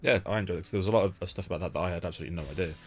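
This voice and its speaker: A man's voice: low-pitched, very fast. A man interviewing someone in a casual chat, speaking informally and bluntly. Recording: lo-fi amateur interview setup.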